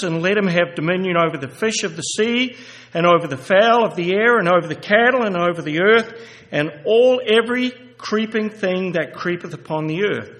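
A man giving a sermon and reading scripture aloud, halting with many fillers and restarts, then growing louder and more emphatic as he reads. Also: sits high. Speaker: lay preacher. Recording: echoey hall reverb.

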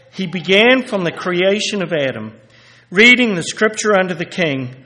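A man giving a sermon and reading scripture aloud, halting with many fillers and restarts, then growing louder and more emphatic as he reads. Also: high voice. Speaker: lay preacher. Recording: echoey hall reverb.

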